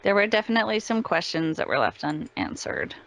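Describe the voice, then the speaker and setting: A woman's voice: fairly low pitch, very animated, brisk, vocal fry, fairly bright tone. A woman chatting casually as an interview guest, agreeable in manner. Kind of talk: casual chat.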